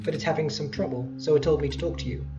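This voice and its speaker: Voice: medium pitch, steady tone, fast talker, muffled recording, natural voice. A let's player voicing a small, earnest, helpful child on a quest in a video game. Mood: earnest, curious.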